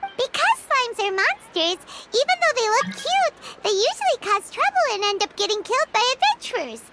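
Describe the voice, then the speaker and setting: A woman voicing a tiny, chirpy fairy-like girl companion in a video game who talks about herself in the third person, with a very high childlike voice. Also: very animated, normal speed, heavy background noise. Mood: storytelling. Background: game music.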